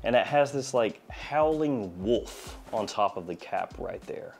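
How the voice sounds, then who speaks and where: A man's voice: fairly low pitch, animated, unhurried, creaky. A man in his forties with a slight head cold, giving a casual, jokey product review as a video host. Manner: playful, jokey, wry asides. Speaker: fragrance review channel host. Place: small indoor studio.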